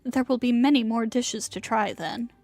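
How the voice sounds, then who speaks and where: High, lofty, refined immortal woman. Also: moderate pace, vocal fry, a little mellow, no room sound, soft background music. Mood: gracious, formal. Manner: formal, lofty, old-fashioned phrasing. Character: refined immortal woman inventor, proud, formal, motherly. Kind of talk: video game voice acting.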